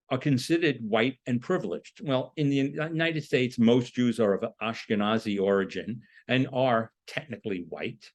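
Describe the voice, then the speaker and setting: A man's voice: mid-range voice, darkish tone. A man lecturing in an explanatory, emphatic manner, posing rhetorical questions as he goes. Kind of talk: lecture on a political topic.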